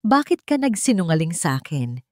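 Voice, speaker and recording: A woman's voice: on the low side, sing-song, brisk, darkish tone, no room sound, clean studio sound. A woman narrator voicing a cold, wounded, imperious billionaire mother in a dramatic story, accusing and cutting. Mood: hurt, accusing.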